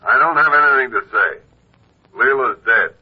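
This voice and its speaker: A man's voice: medium pitch, normal speed, slightly rough. An actor playing a curt, guarded man heard through a telephone filter in a vintage radio drama. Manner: curt, reluctant. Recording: tinny phone line, vintage radio.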